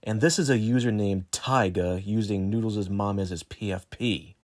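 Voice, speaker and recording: A man's voice: lowish voice, normal speed, darkish tone, no echo, studio quality. A man reading scripted narration for an exposé video.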